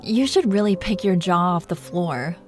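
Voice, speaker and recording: A woman's voice: on the low side, expressive, normal speed, darkish tone, faint music behind, close to an everyday voice. A woman playing a commanding, playful, possessive dragon queen in an intimate roleplay audio drama. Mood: flirty, teasing. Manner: imperious, playful, teasing.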